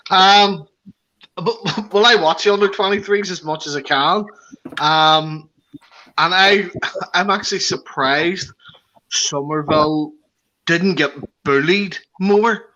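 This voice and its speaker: A man with a Belfast accent chatting as a podcast guest, lively and laughing as he talks. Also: high-pitched, takes it slowly, lo-fi sound, slight background noise. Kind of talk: casual chat.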